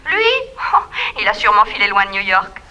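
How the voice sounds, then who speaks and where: A woman playing a calm, reassuring detective's assistant speaking on the telephone in a 1960s French radio drama. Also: medium pitch, noisy background. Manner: reassuring, brisk, dismissive of fears.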